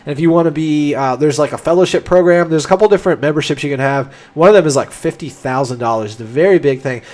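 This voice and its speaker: A man's voice: on the high side, quick pace, a little mellow. Congested young man hosting a livestream. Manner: enthusiastic, explanatory, frequent restarts. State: stuffed-up nose from a cold. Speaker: livestream host answering chat questions. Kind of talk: casual livestream talk.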